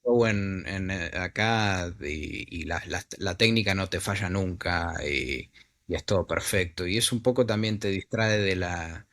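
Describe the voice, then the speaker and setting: A man's voice: sits low, a little slow, a bit bright. A man with an Argentine Spanish accent, an actor talking casually as an interview guest, searching for words with many fillers and repeats. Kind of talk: casual conversation.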